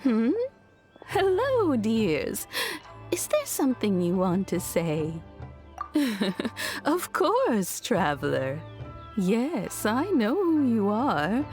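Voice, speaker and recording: A woman playing a gentle, affectionate household maidservant in an anime game, with a fond, genteel, measured manner. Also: fairly high pitch, wildly swooping pitch, faint music behind, exaggerated voice. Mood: gracious welcoming.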